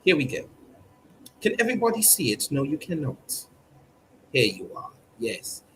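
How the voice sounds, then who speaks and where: A man's voice: on the high side, big pitch swings, moderate pace, noisy background. A man hosting a comedic mock newscast in a stilted, formal newsreader manner, drawing out his words. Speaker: mock news presenter on a livestream.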